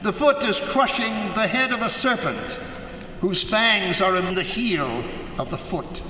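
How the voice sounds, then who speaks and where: An actor playing a scheming nobleman in live gothic radio theater, falsely courteous and sly, with theatrical, deliberate phrasing. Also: high voice, expressive, pushed and bright, heavily acted. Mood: smooth, guarded. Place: large hall before a live audience. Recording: echoey hall, live stage, noisy background.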